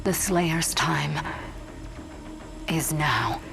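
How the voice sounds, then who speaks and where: A woman's voice: low voice, creaky, loud music behind the voice, heavily acted. An actress playing a grave, menacing female narrator who heralds a destroyer in a portentous dramatic game monologue. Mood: ominous. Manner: ominous, portentous, deliberate.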